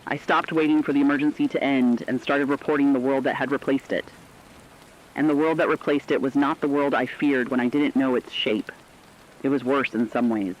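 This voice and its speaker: A man playing a steadfast, weary radio anchor who speaks deliberately and reflectively in a scripted apocalyptic radio drama. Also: medium pitch, steady tone, muffled recording, natural voice. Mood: sombre, steadfast. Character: steadfast, weary radio anchor reporting through societal collapse.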